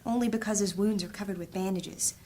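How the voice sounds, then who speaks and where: An actress playing a gentle, earnest young princess who is a healer, speaking softly and urgently in a fantasy audio drama. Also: female voice, medium pitch, steady tone, fairly quick, slight background noise, close to an everyday voice. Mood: worried. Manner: soft, urgent, often hushed.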